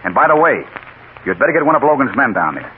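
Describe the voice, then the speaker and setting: An actor playing a wry, unflappable newspaper photographer who wisecracks under threat, in 1940s radio drama style. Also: male voice, mid-range voice, very animated, fast talker, lightly acted. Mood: brisk, confident. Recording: scratchy, tinny 1940s radio broadcast.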